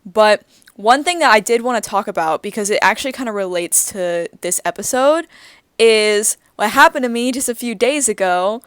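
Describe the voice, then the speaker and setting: Smooth-voiced chatty woman in her twenties. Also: on the high side, expressive, normal speed, a bit bright, no echo, studio quality. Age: 20s. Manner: chatty, giggly, lots of "like" fillers. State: groggy morning voice. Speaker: podcast co-host. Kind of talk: casual chat.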